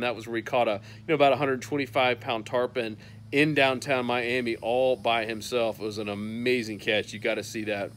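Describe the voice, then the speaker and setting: An upbeat man in his thirties runs through a weekly roundup, chatty and loose, full of small "uh" fillers. His voice sits at an ordinary middle pitch, caught on a phone held at arm's length on an outdoor patio, with a faint hum behind him. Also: fairly bright tone. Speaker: fishing newsletter host. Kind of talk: casual weekly roundup to camera.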